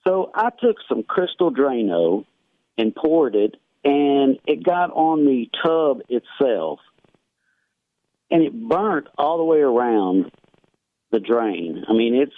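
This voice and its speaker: A man's voice: a little high, no room sound. A man from Tennessee calling a radio show over a muffled phone line, casually explaining a problem. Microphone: telephone.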